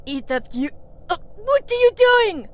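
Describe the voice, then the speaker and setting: A woman voicing an easily panicked elf jailer in a fantasy story, shrill and exclamatory. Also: big pitch swings, a little slow, fairly bright tone, full character voice. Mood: scornful, alarmed.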